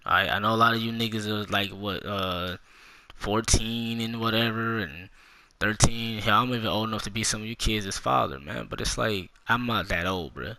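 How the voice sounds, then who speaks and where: A man in his twenties talks straight to young viewers in a loose, profane rant. His voice sits fairly low but comes through forceful and bright. The recording is dry and close. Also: moderate pace, gravelly, no echo. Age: 20s. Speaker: gaming YouTuber. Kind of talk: casual storytime rant.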